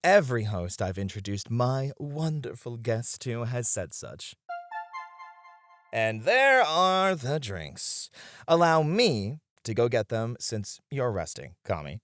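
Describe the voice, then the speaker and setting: Supportive, earnest lounge host for ASMR roleplay, played by a man: playful, very lively pitch, dry recording. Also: slow pace, no echo, faint music behind. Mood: friendly, playful. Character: supportive, playful male lounge host looking after a colleague. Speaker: voice actor.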